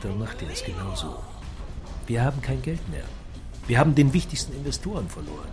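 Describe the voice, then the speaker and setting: A man's voice: mid-range voice, close to an everyday voice. Guy playing bossy ruthless exec, blunt n menacing, big swings in tone, radio play w music behind. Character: ruthless, domineering male tech boss, quick to rage. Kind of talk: radio drama acting.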